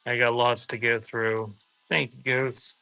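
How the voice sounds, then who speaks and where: Male live-sale host, bright forward voice at middling pitch, slightly unhurried, casual and off-the-cuff, music playing behind. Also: level tone, vocal fry, smooth, poor audio. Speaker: live auction seller and host. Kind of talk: casual live-selling chat.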